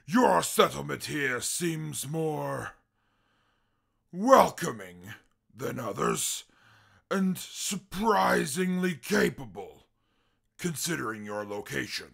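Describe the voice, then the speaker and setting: Here is a proud, self-styled genius of a monster in an audio drama. The voice is slow and husky, swinging widely in pitch. Its formal, deliberate diction strains against a short temper. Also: male voice, sing-song, exaggerated voice. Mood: restrained, haughty. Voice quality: gravelly, husky. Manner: formal, deliberate speech flaring into shouted outbursts, then apologizing. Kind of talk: post-apocalyptic audio drama acting.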